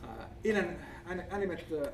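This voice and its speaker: A man with a Finnish accent gives an academic presentation, speaking haltingly and searching for words. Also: high-pitched. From Finland. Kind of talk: conference presentation with slides. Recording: distant mic, faint background noise.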